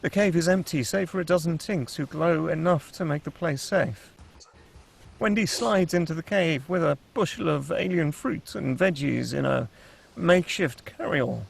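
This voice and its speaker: A man's voice: a little high, normal speed, creaky, husky. A man reading screenplay stage directions as narrator at a table read.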